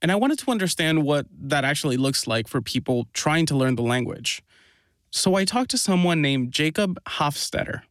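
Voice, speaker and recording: A man hosting a podcast, calmly explaining his reporting to a co-host. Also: a little high, normal speed, no echo, clean studio sound. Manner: calm, informative.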